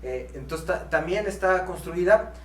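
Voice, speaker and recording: A man's voice: high voice, expressive, a little slow. Mexican man, echoey and off-mic. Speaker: podcast co-host. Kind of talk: casual conversational podcast talk. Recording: echoey room, distant mic, faint hum.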